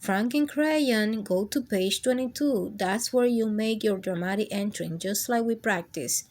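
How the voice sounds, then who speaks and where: A woman's voice: mid-range voice, unhurried, a little mellow, natural voice. A woman playing a theatrical crayon in charge of a picture book, addressing the reader in a formal, dramatic way. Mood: explaining. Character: theatrical crayon stage manager telling of a ruined book.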